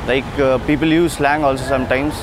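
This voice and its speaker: A man with an Indian English accent giving hesitant street-interview answers, full of pauses and fillers. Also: medium pitch, level tone, noisy background.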